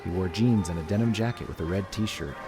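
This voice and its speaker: A man's voice: sits low, fairly quick. A man reading scripted story narration as a narrator, with music behind him.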